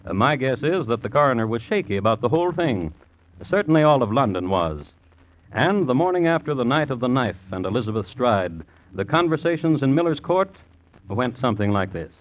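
A man hosting and narrating an old radio crime drama in a deliberate, dry, wry manner. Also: medium pitch, sing-song, slight background noise. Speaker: host and narrator. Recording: dull old radio recording.

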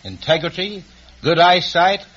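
A muffled, noisy old radio recording of an American man being interviewed. His voice sits a little high, and he answers in a plain, matter-of-fact way. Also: unhurried, a bit bright. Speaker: baseball umpire, show contestant. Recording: muffled old radio broadcast, clear noise.

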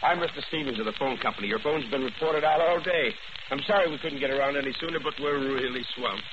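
A man in a 1940s radio drama playing a friendly, busy phone company repairman, talking in a brisk, neighborly way. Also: medium pitch, natural voice. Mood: obliging. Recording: distant, dull old radio recording with hiss.

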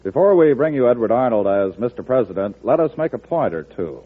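A man's voice: medium pitch, very animated, brisk. An American man reading a formal, earnest scripted announcement for a 1940s radio show. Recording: husky, narrow-band 1940s radio recording.

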